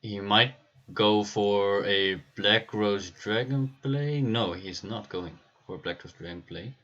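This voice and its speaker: A man hosting a livestream and commentating a card game match, relaxed and laughing easily, with frequent pauses. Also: fairly low pitch, muffled recording. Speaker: stream host and caster.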